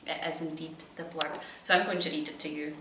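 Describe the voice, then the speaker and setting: A woman's voice: low-pitched, fairly even intonation, fairly quick. Encouraging Scottish woman in an echoey room. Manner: encouraging, questioning, praising. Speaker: teacher reading a story to a child. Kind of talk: interactive storybook reading, child-directed. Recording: echoey room, distant mic, noisy background.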